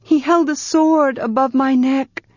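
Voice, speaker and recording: A woman's voice: high voice, fairly even intonation, unhurried, a little mellow, no room sound, pitched above the performer's own voice. A woman voicing an anguished young woman, proud and guilt-ridden, who talks in a tense, pleading way that breaks with grief. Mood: grieving. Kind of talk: audiobook character reading. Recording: dull, muffled sound.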